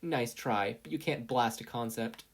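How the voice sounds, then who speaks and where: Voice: mid-range voice, unhurried, breathy edge. A narrator voicing a nagging inner conscience that lectures in a dry, smug manner.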